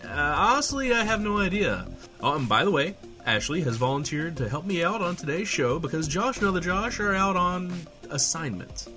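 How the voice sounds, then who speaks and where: A man playing an irreverent small-town radio host in a comedy audio drama. His pitch jumps around as he gets flustered, hedging and swearing sarcastically, with a faint music bed underneath. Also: sing-song, moderate pace. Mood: wry.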